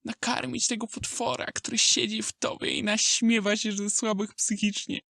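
A woman playing an old male wolf in a Polish audio drama, a smooth, contemptuous schemer with a creaky voice and an insinuating manner. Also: a little high, fairly even intonation, normal speed, sharp tone, no echo, clean studio sound, heavily acted. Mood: scornful, persuasive.

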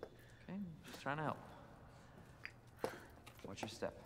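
A man's voice: medium pitch, big pitch swings, measured pace. A young man acting an earnest, protective rookie policeman in a video game, understated and determined. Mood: protective, insistent. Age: young adult. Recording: noisy game ambience behind voice.

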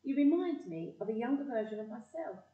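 A woman playing a kind, bookish English teacher in an audio play, with a soft, gentle voice. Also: a little high, animated, fairly quick, narrow, tinny audio, lightly acted. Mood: fond, gentle. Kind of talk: stage-style audio play. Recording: roomy, distant from the mic.

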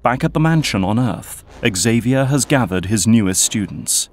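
A man giving deliberate, scripted narration for an audio drama. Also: mid-range voice, lively intonation, faint music behind.

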